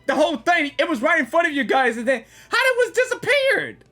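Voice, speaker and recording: Excitable high-pitched gamer with bright voice. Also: quick pace. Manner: excitable, repetitive, slangy. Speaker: gamer reacting to a game story. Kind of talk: casual live reaction commentary. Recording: game music behind the voice.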